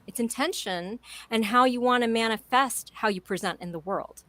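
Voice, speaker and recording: A woman's voice: on the high side, moderate pace, fairly bright tone, dry recording. A woman in her forties speaking as a guest in an interview, emphatic and enthusiastic in a motivational way. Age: 40s. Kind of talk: conversational interview.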